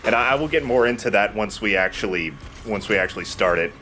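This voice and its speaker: A man's voice: on the low side, fast. A man, a young adult, hosting a Let's Play with casual, rambling, jokey game commentary. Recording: clear steady background noise.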